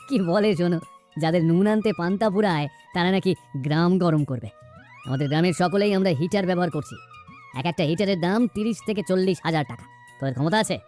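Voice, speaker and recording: A woman's voice: lowish voice, brisk, warm tone, soft background music, natural voice. A woman with a West Bengal accent voicing an arrogant, bullying rich man in a cartoon village, sneering and scornful. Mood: mocking, contemptuous.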